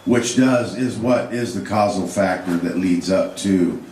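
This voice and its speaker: A man's voice: low voice, unhurried, a little mellow, a little hiss or hum. A man giving an unscripted history lecture, rambling with fillers and restarts. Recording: echoey room, distant mic.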